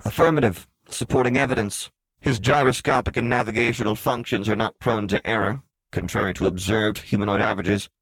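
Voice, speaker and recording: A man's voice: lowish voice, steady tone, brisk, fairly bright tone, husky, dry recording, pitched above the performer's own voice, low-quality recording. A man voicing a smug, sardonic little droid in a story, in a clipped, formal, robotic way. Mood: matter-of-fact. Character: smug, sardonic little astromech droid, read as translated text.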